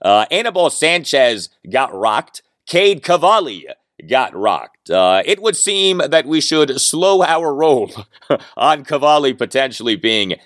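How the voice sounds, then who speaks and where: A man hosts his own sports talk podcast, his voice still recovering after losing it. He talks with lively, swooping intonation and punchy emphasis, dotted with "uh" fillers. The sound is close and dry, with no room around it. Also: fairly high pitch, slow pace, no echo. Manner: animated, emphatic delivery with frequent "uh" fillers. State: recovering from lost voice.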